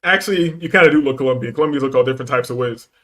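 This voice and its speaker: A man's voice: mid-range voice, very fast, fairly bright tone. A man hosting a reaction stream, talking bluntly in profane street slang. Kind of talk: casual reaction commentary.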